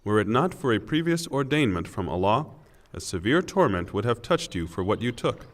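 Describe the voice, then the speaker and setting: A man reading a scripture translation from a script in a measured, formal and solemn way. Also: on the low side, big pitch swings. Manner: measured, formal delivery in short phrases. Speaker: reader of English scripture translation.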